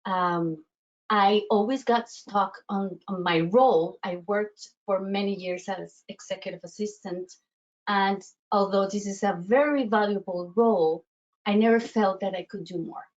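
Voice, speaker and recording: Woman coach, Colombian accent, mid pitch, halting and filler-heavy, slightly dull lo-fi audio. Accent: Colombian Spanish. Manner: halting, many fillers and restarts. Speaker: self-worth coach. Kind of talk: webinar presentation.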